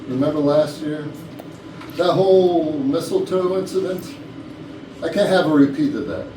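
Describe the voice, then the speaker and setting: A man acts out an anxious company boss in a staged comedy reading, with a fairly high male voice. He is bossy and suspicious, heard from a distance in an echoey, noisy room. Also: lightly acted. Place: rehearsal room.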